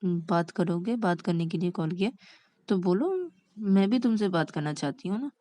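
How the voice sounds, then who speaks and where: A woman's voice: lowish voice, expressive, normal speed, warm tone, dry recording, lightly acted. A woman voicing an amused, confident young woman in an audio story, teasing and mock-indignant in her questions. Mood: coaxing.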